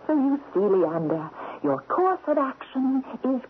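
A woman's voice: high-pitched, sing-song, slow, exaggerated voice. An actress playing a seductive, cruel spirit queen in a radio drama, theatrical, insinuating and commanding. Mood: sly, persuasive. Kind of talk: 1970s radio drama acting. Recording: tinny, hissy old radio recording.

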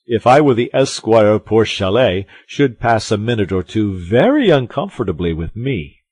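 A man's voice: fairly low pitch, big pitch swings, unhurried, darkish tone, husky, dry recording, voice pushed up, heavily acted. A man voicing a boastful, blustering musketeer in a storybook reading, with pompous, emphatic, theatrical delivery. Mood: threatening. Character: boastful, loud, self-satisfied male musketeer, blustering and theatrical. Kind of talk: audiobook character acting.

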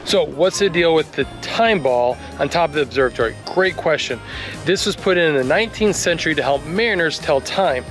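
A man's voice: fairly high pitch, lively intonation, brisk. Man, 40s, travel host; chatty, wry explainer, recorded outdoors on a camera mic with music bed. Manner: chatty, joking, touristy explainer. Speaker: travel vlogger guide. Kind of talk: casual travel vlog narration. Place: outdoors in a park and museum halls.

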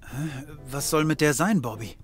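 An actor playing a flippant, excitable male occult private detective who wisecracks, in a German comedy audio drama. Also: a little high, normal speed, soft background music, lightly acted. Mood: relieved, flippant. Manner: wisecracking, stammering when flustered.

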